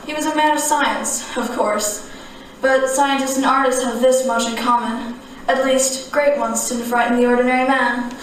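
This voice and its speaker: A woman playing an aloof, dreamy young artist in a stage drama, speaking in measured, formal, cryptic philosophical lines. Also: fairly high pitch, heavy reverb, far from the mic, slight background noise, natural voice, rough recording. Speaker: amateur stage actor. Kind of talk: radio-style stage drama.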